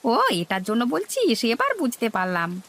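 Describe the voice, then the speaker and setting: Woman doing a high creaky cartoon village fish seller guy, naive, eager, kinda simple. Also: wildly swooping pitch, a little slow, fairly bright tone, full character voice. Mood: agreeable, eager. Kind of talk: cartoon dialogue.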